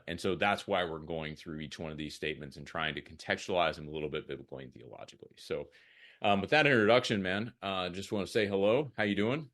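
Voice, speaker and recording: A man's voice: low-pitched, a bit bright. An American man hosting a podcast in a conversational style. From United States. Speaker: podcast host.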